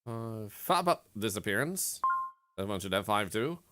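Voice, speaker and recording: Animated male streamer over game music. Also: on the low side, unhurried. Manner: mutters counts, bursts into loud exasperated rants. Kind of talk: casual gameplay commentary.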